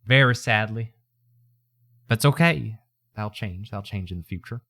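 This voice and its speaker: Folksy man streamer, slightly low dry voice. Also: expressive, normal speed, pushed and bright, no echo. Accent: put-on accent. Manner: folksy, playful, addressing viewers as folks. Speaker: streamer, VTuber. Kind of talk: casual livestream chat.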